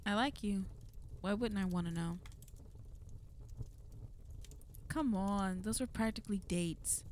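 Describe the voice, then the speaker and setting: A woman's voice: medium pitch, moderate pace, darkish tone, slight background noise, lightly acted. A woman playing a flirty, possessive villain in an ASMR roleplay, speaking intimately to the listener in a teasing, commanding way. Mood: flirty, coaxing.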